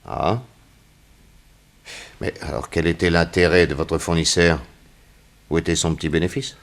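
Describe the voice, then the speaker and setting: An actor playing a stern, shrewd investigator who questions insistently and sardonically in a 1960s French radio crime drama. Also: male voice, deep voice, fairly even intonation, measured pace. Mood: sardonic pressing. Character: stern, shrewd male investigator grilling a young suspect.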